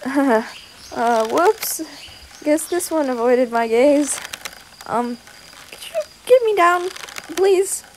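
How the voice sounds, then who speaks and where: A woman's voice: extremely high pitch, a bit bright, slight background noise, pitched above the performer's own voice, full character voice. A woman voicing a goofy, reckless young male adventurer in an audio roleplay, bumbling and playful as the character talks to a companion. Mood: panicked. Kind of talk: audio roleplay addressed to the listener.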